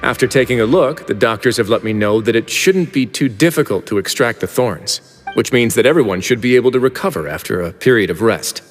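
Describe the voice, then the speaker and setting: An actor playing a composed prison-warden duke with a dry, teasing manner, in a video game dub. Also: male voice, mid-range voice, lively intonation, fairly quick, big-room sound, faint music behind, lightly acted. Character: calm male prison warden duke, wry and firm.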